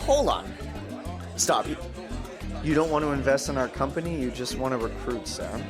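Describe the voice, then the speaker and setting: A man's voice: mid-range voice, normal speed, over music, natural voice. An actor playing a pushy, volatile young startup founder in an audio drama, with combative salesman patter. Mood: indignant.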